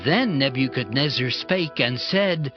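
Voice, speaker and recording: A man narrating dramatized scripture in a solemn, measured and deliberate way. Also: medium pitch, lively intonation. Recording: dull band-limited audio under loud music. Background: loud dramatic music.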